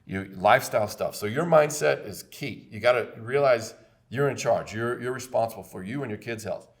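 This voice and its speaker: A man's voice: medium pitch, moderate pace. A man, hosting a casual health podcast in an emphatic, preachy way and often repeating himself. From Texas. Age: 50s. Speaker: podcast host, chiropractor.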